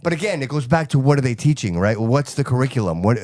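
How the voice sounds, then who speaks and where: A man's voice: mid-range voice, animated, fast talker, a little mellow, no echo. Passionate man making emphatic points. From United States. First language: Portuguese. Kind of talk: casual panel chat.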